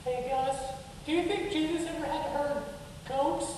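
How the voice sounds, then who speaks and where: Woman voicing an excitable high-pitched puppet boy, echoey hall. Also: normal speed, natural voice. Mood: eager. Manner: gushing, giddy, wide-eyed rambling. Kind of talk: children's church puppet show dialogue. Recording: echoey hall from far off, faint noise.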